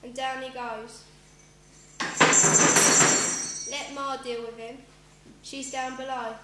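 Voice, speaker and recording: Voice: high-pitched, level tone, unhurried. A school pupil playing a sly, murderous male barber in an amateur radio play. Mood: gloating. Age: child, about 12 to 13. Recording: roomy, loud hum and noise.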